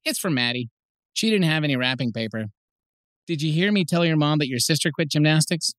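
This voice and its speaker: A man's voice: on the high side, a little fast, a bit bright, no echo, studio quality, close to an everyday voice. A man playing a teasing, jokey, easygoing dad in an audiobook. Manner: jokey, casual. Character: playful, teasing, easygoing dad of a young boy. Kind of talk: full-cast audiobook acting.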